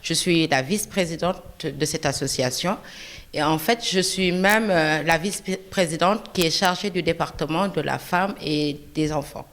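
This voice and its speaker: A woman doctor from Senegal introducing herself in an interview, calm and measured. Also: deep voice. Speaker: doctor, association vice-president.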